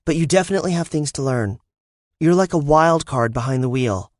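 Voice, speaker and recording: A man's voice: on the high side, lively intonation, brisk, no echo, studio quality, voice pushed up, lightly acted. A man voicing a playful, confident young woman racer who needles the narrator, in an audiobook reading. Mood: teasing.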